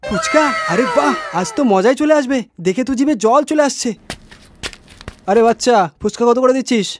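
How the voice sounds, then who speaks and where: A fussy cartoon customer acted out by a voice performer rattles off demands in a high, fast voice. The delivery is bossy, greedy and whiny, nagging and wheedling over background music. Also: animated. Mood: excited, haggling. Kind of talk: Bengali comic cartoon dialogue.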